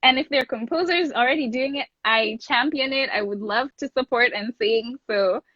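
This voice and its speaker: Interview guest: young woman from Kenya, Luo native speaker, higher voice, informal and enthusiastic, muffled lo-fi sound. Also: normal speed, sharp tone, dry recording. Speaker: guest, classical singer and voice teacher. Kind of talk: casual interview chat. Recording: dull lo-fi close dry sound.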